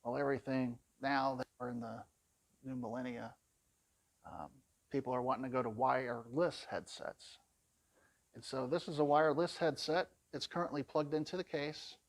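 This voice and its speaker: A man's voice: medium pitch, fairly even intonation, moderate pace, gravelly, no echo. A man giving an informal product walkthrough in a plain-spoken, conversational way, explaining things as a demonstrator.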